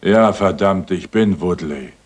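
A coarse villain in a 1980s radio drama. He has a fairly low voice with a rude, boastful, challenging delivery, every bit the aggressive bully. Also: measured pace. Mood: menacing, angry. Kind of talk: German radio play acting, 1980s.

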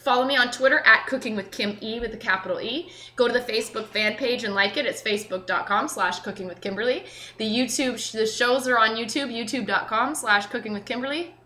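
A woman's voice: fairly high pitch, a little fast, sharp tone. A young woman giving a casual, chatty cooking demonstration to camera, thinking aloud as she works. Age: young adult. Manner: chatty, informal, thinking aloud while working. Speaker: cooking show host. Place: indoor home kitchen. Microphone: camera mic a few feet away. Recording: roomy, echoey, noisy kitchen recording.

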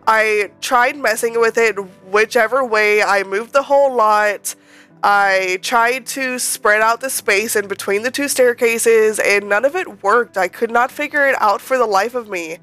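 Woman, rambling vlog voice-over, a bit nasal from a cold, smooth clear tone, faint music behind. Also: fairly high pitch, unhurried, sharp tone. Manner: chatty and rambling, many ums and restarts. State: slightly nasal, recovering from a cold. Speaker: Sims speed-build creator. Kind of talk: casual voice-over commentary.

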